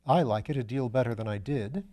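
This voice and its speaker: A man's voice: mid-range voice, a little fast, warm tone, lightly acted. A man voicing a flippant, shrewd aristocratic detective, breezy and assured, in a storybook reading. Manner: breezy, self-deprecating, assured.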